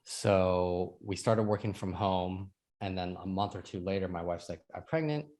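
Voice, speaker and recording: A man's voice: low-pitched, normal speed. A man in his thirties from Sacramento, a podcast guest telling his story in casual chat, laughing often. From Sacramento, California. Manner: chatty, laughs often, quotes others in lively bursts.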